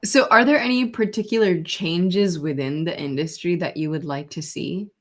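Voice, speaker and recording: Lady podcast host, voice a bit low, chatty interview style, kinda tentative wording. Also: moderate pace. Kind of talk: conversational interview.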